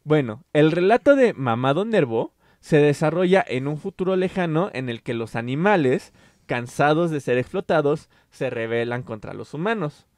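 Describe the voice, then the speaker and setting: A man's voice: fairly high pitch, animated, normal speed, darkish tone, no room sound, studio quality. A man hosting a casual literary podcast, explaining at length with fillers and restarts. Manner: explanatory, many fillers and self-corrections. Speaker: podcast host.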